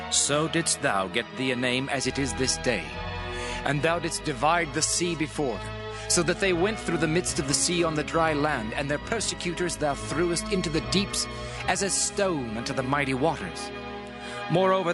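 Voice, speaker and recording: An actor playing a Levite solemnly recounting divine deeds in prayer, reverent and declamatory, in a dramatized scripture reading. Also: male voice, fairly high pitch, close to an everyday voice. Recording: music behind.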